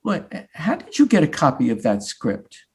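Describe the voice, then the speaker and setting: A man playing an earnest, flustered sixteen-year-old playwright son in a staged reading, defensive and mock-modest, with a husky voice. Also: a little high, huge pitch swings, moderate pace, exaggerated voice. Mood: flustered, indignant. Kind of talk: live staged play reading.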